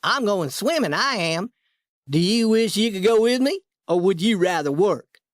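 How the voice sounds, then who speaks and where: A man doing a boastful storybook boy who turns to begging, his voice pitched high and bouncing up and down. The sound is close and dry. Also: moderate pace, dry recording. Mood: teasing. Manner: high excited boyish voice. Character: eager boastful boy Ben, pleading.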